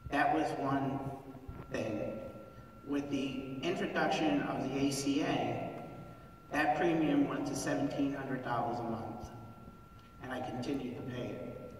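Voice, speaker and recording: A man in his fifties, a physician, speaking at a town hall in a halting, earnest way and clearing his throat between phrases. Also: medium pitch, a little hiss or hum. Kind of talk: public comment at a town hall. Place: large theater hall. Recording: echoey theater heard from far off.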